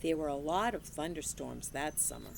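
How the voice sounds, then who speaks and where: A woman teacher reading a children's novel aloud in an expressive, unhurried storytelling manner. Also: low voice, gravelly, background noise. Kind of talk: children's book read-aloud.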